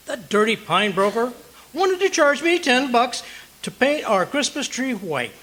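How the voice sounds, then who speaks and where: A man's voice: very high voice, big pitch swings, a little slow, a bit bright, heavily acted, poor audio. A man playing a blustery, stubborn, penny-pinching husband in an old-time radio comedy, with indignant, folksy exaggeration. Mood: eager, scheming. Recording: live stage performance with background noise.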